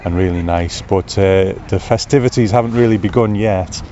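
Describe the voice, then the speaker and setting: A man's voice: sits low, moderate pace, a little hiss or hum. A man hosting a casual on-location interview outdoors, chatty, effusive and encouraging with his guests. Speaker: interviewer and podcast host. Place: outdoors in a park. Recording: dull sound with loud outdoor ambience.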